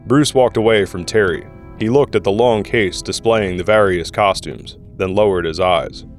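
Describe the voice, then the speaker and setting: Guy narrating an audio drama, lowish voice, music playing behind. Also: normal speed. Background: background music.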